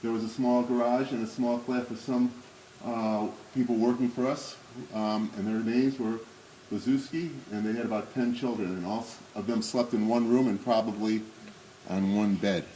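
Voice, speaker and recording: A man in his sixties giving a remembrance presentation at a synagogue, showing slides and reading memoir excerpts aloud, with frequent pauses and fillers. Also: lowish voice, fairly even intonation, warm tone, slight background noise, low-quality recording.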